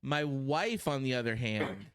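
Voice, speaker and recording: A man's voice: medium pitch, lively intonation, a little slow, fairly bright tone, clear, resonant tone, no echo, studio quality. A man in his thirties with a Pittsburgh accent, co-hosting a podcast in a rambling, self-deprecating casual chat. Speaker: podcast co-host.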